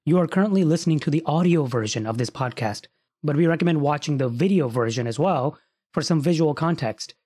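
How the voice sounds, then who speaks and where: A man's voice: fairly high pitch, darkish tone, slightly rough. A man hosting an interview podcast. He reads a scripted intro, then asks friendly conversational questions. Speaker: podcast host.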